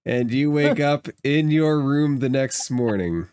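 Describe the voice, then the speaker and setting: A man running a tabletop roleplaying game as game master, narrating in a measured, deliberate way. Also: mid-range voice, lively intonation, darkish tone, clear, resonant tone, dry recording.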